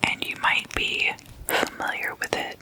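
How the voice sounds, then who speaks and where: An ASMR host whispering throughout in a hushed, unhurried, explanatory way.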